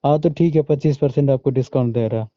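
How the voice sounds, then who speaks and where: A man's voice: medium pitch, fast talker, dark tone, dry recording. An Indian man on a muffled recorded phone call, chatting informally and pushing back with questions. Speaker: online seller on a phone call. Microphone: phone line.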